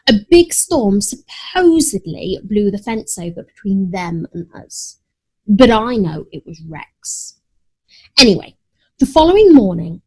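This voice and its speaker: Prim, dramatic pet cat narrating a children's tale, played by a woman; haughty, very animated, breathy edge. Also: mid-range voice, full character voice, poor audio. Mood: haughty indignation. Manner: expressive, haughty, indignant. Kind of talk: first-person children's story narration.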